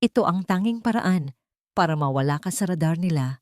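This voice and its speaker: A woman voicing a grave, urgent male rescuer in an audio drama, speaking in a hushed, serious way. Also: sits high, expressive, fairly quick, dry recording, clean studio sound. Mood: urgent, resolute.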